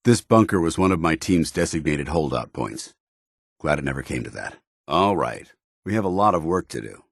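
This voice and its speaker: Stern duty-bound paladin, bass-deep, expressive swings, clipped military phrasing, game companion dialogue. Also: male voice, normal speed, vocal fry, no echo, clean studio sound, exaggerated voice. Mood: solemn, dutiful. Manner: clipped, formal, earnest military delivery.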